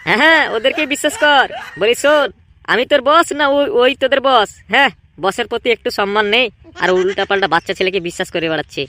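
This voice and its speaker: A woman's voice: a little high, quick pace, pauses often, fairly bright tone, dry recording, lightly acted. A woman voicing an arrogant male gang boss in a comedy cartoon, swaggering and dismissive. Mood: defiant. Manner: swaggering, challenging.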